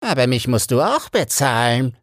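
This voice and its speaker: A man's voice: mid-range voice, big pitch swings, no echo, studio quality, voice pushed up, close to an everyday voice. A man voicing a greedy, menacing female sea witch in a storybook reading, drawing out the words with relish. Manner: slow, drawn-out, relishing menace.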